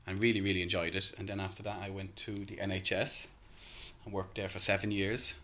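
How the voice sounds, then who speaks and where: This is a man in his thirties with an Irish accent, talking casually as a podcast guest. His voice sits very low. He hesitates and restarts often, filling gaps with ums. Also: level tone, brisk, fairly bright tone. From Ireland.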